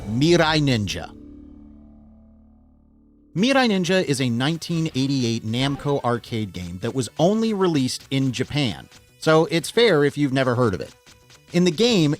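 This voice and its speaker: Male video essayist, North American accent, lively swooping intonation, wry and enthusiastic, joking asides, faint music behind. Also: on the high side. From United States. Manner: enthusiastic, wry, self-deprecating. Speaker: host of a film video essay channel.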